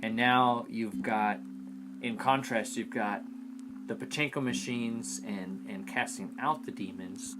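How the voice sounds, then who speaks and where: A man's voice: medium pitch, takes it slowly, noisy background. A man co-hosting a casual fan podcast, talking things through inquisitively, recapping and posing questions. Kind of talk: casual discussion of an audio drama.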